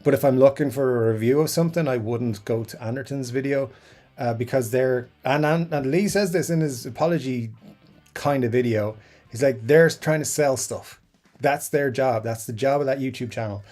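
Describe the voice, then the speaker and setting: Guy rambling on a live stream, reading out comments, lots of ums, mid pitch. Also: normal speed, a little mellow. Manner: rambling, chatty, frequent fillers and restarts. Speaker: YouTube guitar channel host.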